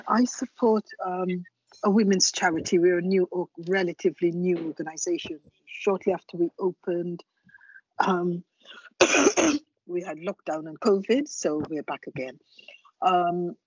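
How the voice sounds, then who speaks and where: A woman's voice: on the low side, husky, no room sound. An older woman introducing herself on a video call, hesitant and self-deprecating. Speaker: charity worker, meeting attendee. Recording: dry, dull lo-fi call audio.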